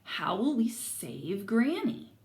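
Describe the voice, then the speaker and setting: A woman voicing a thoughtful old grandfather from a storybook in a measured, questioning manner. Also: on the high side, big pitch swings, darkish tone, reverberant. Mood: concerned.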